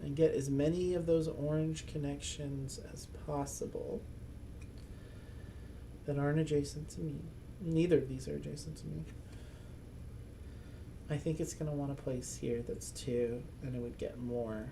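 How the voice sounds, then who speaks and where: Man musing slowly through a board game. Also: fairly high pitch, fairly even intonation, creaky, slight background noise. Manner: thinks aloud, chuckling, self-mocking asides; speaks as a loud, projecting voice. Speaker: board game channel host. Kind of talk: casual solo playthrough commentary.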